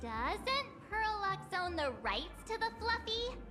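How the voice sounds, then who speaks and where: A woman's voice: very high-pitched, sing-song, measured pace, music in the background, heavily acted. An actress voicing a cute, naive and curious young girl in a video game, wide-eyed and questioning. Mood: puzzled, worried.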